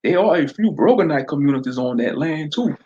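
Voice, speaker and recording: A man voicing an aggressive sheriff's deputy who yells and barks orders. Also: a little high, animated, fairly quick, lo-fi sound, pitched above the performer's own voice, natural voice. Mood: informing. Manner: yelling, barking orders. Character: aggressive male sheriff's deputy, loud and hostile.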